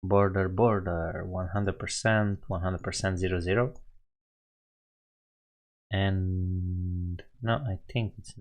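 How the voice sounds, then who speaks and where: A man's voice: deep voice, fairly even intonation, slow, pauses often. A man with a Romanian accent hosting a live coding stream, thinking aloud and correcting himself as he types. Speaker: coding livestream host. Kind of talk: casual live coding narration.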